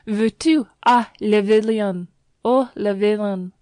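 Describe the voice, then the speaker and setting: A woman's voice: mid-range voice, fairly even intonation, slow, darkish tone, dry recording, clean studio sound, lightly acted. Woman putting on a gushing French landlady. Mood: scolding. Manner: gushing, broken English sprinkled with French. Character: stout, effusive French landlady, middle-aged woman.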